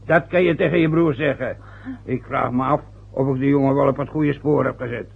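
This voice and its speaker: A man's voice: medium pitch, fairly even intonation, a little fast, gravelly, slightly rough. An actor playing a stubborn, wounded older father who lectures and grumbles with hesitations, in a vintage radio play. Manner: aggrieved, lecturing, rambling with hesitations. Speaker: father of a newly married son. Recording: dull lo-fi old radio recording.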